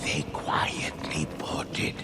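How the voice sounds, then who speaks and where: A man narrating a game story in a measured, solemn way. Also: music in the background.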